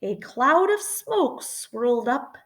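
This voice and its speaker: Animated woman reading a picture book aloud. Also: high voice. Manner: animated, deliberate, dramatic emphasis. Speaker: storytime reader. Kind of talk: expressive children's picture book read-aloud with chatty asides.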